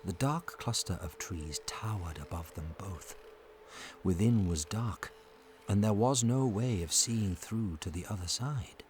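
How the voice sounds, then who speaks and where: A man narrating a scripted family adventure story in a dramatic, measured storytelling manner. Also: low-pitched, slight background noise.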